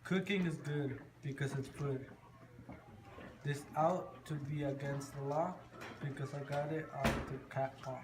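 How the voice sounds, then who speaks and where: A man's voice: mid-range voice, fairly even intonation, unhurried, close to an everyday voice. A man playing a lazy, boastful wanderer in an amateur rhyming puppet show. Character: Marco, a lazy, boastful wandering male thinker. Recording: noisy amateur recording with clicks.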